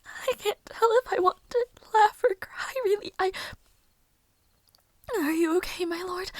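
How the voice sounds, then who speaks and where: A woman plays a tender young maid in a fantasy romance roleplay. Her voice is very high and slow, soft to the edge of a whisper, in a close, dry recording. She speaks hesitantly and formally, full of anxious longing and self-doubt. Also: no echo, exaggerated voice. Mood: breathless, relieved. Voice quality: soft, breathy, often near whisper. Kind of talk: intimate audio roleplay acting.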